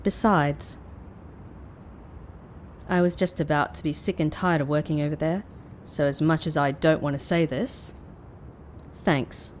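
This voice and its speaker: A woman's voice: low-pitched, steady tone, a little slow, pauses often, a little mellow, slight background noise. An actress playing a reluctant, sardonic female ex-secret agent with a dry, grudging manner in an audio drama. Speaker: voice actor. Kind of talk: anime-style audio drama acting.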